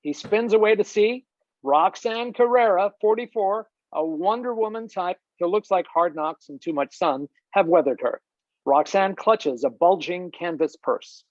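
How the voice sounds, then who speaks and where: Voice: medium pitch, unhurried, no room sound. A narrator reading screenplay stage directions in a scripted style over a video call. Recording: dull video-call audio.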